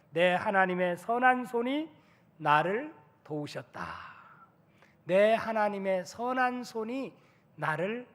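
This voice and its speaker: Male pastor, hoarse with a cold, high voice, emphatic sermon delivery, long church reverb. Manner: builds from quiet apology to loud, emphatic preaching. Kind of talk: Sunday sermon. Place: church sanctuary.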